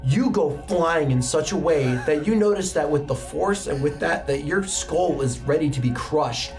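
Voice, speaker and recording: A man's voice: fairly high pitch, moderate pace, darkish tone. A man working as game master, narrating a tabletop roleplaying game in a dramatic, immersive way. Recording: music bed under the voice. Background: background music.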